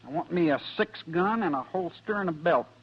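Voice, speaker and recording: An actor playing a proud, stubborn sixteen-year-old cowboy in a radio Western, with terse, folksy frontier talk. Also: male voice, fairly high pitch, animated, a little fast, breathy edge. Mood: determined. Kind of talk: 1950s radio Western acting. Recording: dull vintage radio recording with music.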